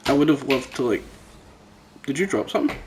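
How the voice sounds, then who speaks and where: A man's voice: mid-range voice, animated, a little fast, a little mellow. Sweary, chatty man with background noise. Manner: sweary, jokey storytelling. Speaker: podcast host. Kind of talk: casual chat. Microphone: headset microphone.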